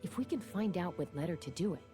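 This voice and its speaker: Woman as a low-voiced, composed young peacekeeper. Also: animated, fast talker, over music, natural voice. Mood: calm, serious. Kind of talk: video game character dialogue.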